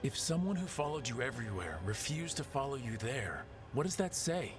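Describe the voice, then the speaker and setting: Casting: male actor as determined young-hero type for a fantasy game, direct, earnest, mid-pitched, music clearly underneath. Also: very animated, normal speed, lightly acted. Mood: firm, frank. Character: earnest, determined heroic male protector pleading his case. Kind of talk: dramatic video game acting.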